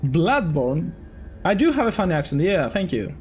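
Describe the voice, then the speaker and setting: A man's voice: on the high side, sing-song, moderate pace, soft background music. A man with a Greek accent tinged with British, a game developer chatting casually with viewers while playing, rambling and correcting himself often. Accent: Greek, slightly British-tinged. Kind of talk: casual gameplay chat with viewers.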